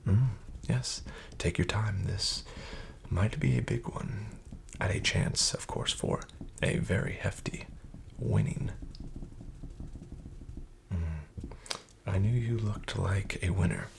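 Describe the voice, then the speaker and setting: A man in a soft-spoken ASMR roleplay as a casino dealer, half-whispering smooth, flattering patter. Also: low-pitched, slow pace, noisy background.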